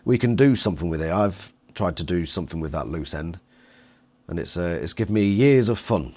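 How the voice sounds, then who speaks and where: A man's voice: sits low, expressive, fairly quick, warm tone. A man chatting casually about books as an interviewer.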